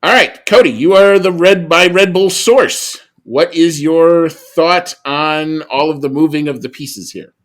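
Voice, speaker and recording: A man's voice: on the high side, measured pace, hardly any pauses. A man co-hosting a podcast in casual chat, talking in a conversational way with frequent restarts and asides. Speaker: podcast co-host.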